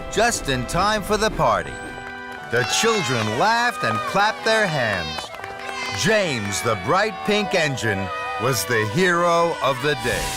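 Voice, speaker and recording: A man narrates a children's story in an unhurried, expressive way. His fairly high voice rises and falls a lot, with prominent music playing underneath.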